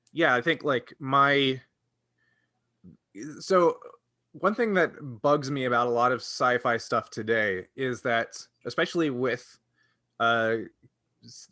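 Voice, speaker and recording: A man's voice: mid-range voice, pushed and bright, no room sound, clean studio sound. A man co-hosting a casual fan discussion, speaking earnestly and often rephrasing himself mid-thought. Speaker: podcast co-host.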